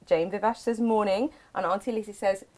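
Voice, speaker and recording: A loud, bubbly woman co-hosting a live craft shopping show, chatting and laughing often. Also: mid-range voice, fairly even intonation, brisk.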